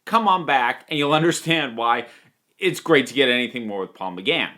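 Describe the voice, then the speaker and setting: Guy doing a chatty review, really lively pitch, punches his words with big pauses. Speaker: audio drama reviewer. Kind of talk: conversational review commentary.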